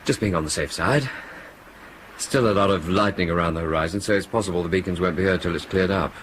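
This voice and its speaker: An actor playing a practical, careful airliner crew officer in a radio drama, speaking in a matter-of-fact, technical way. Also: male voice, low voice, fast talker, a bit bright, slight background noise, low-quality recording.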